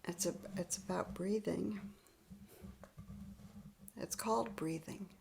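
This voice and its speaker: Slow, gravelly woman leading meditation. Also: mid-range voice, background noise. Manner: soft-spoken, often half-whispered; gentle, unhurried, laughs lightly. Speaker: meditation leader. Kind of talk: guided spiritual practice. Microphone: video call.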